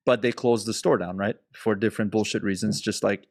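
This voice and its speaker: A man's voice: on the low side, fairly even intonation, brisk, a little mellow, dry recording, clean studio sound. A man hosting a podcast, laid-back and joking, laughing easily and swearing casually. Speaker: podcast host. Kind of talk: casual conversation.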